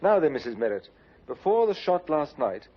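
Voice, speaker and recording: Animated, authoritative police inspector. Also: male voice, a little high, moderate pace, slightly rough. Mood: probing. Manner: authoritative, probing. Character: brisk, methodical police inspector in charge. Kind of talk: 1950s radio drama acting. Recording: muffled old radio, faint noise.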